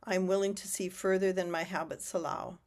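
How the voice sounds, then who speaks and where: A woman reading a prepared text aloud on a video call at a steady, measured pace. Her voice has not fully come back after she lost it. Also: lowish voice.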